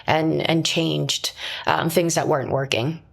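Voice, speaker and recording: A woman's voice: low-pitched, fairly even intonation, a little slow, vocal fry. A woman film director talking casually in an interview, chatty and full of fillers. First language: Mandarin is not her first language.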